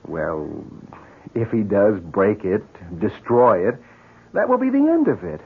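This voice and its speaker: An actor playing a concerned, reasonable family friend in a 1970s radio drama, measured and sympathetic. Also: male voice, lowish voice, huge pitch swings, slightly rough, exaggerated voice. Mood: reassuring. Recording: muffled old radio broadcast.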